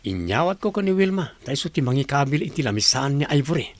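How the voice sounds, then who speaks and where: Man acting lively, confident office suitor. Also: mid-range voice, fast talker, lightly acted. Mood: casual, curious. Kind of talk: Ilocano radio drama acting.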